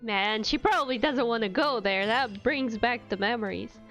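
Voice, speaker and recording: A woman's voice: on the high side, moderate pace, fairly bright tone. A woman streaming a game live, chatting casually and playfully to viewers. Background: faint game music.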